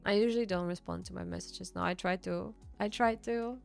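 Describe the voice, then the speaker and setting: A woman's voice: lowish voice, fairly even intonation, vocal fry, soft background music. A young woman from Bulgaria, chatting casually with her livestream viewers and answering chat. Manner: chatty, reads and answers chat, quick laughs. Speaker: streamer.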